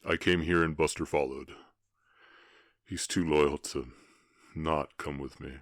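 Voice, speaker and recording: A man acting a very deep, halting orc cowboy. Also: steady tone, no room sound, clean studio sound. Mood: shaken, relieved. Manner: halting, emotional, often dropping to breathy murmurs. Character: gruff lovesick half-orc cowboy, ashamed, protective, tender. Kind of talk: ASMR roleplay voice acting.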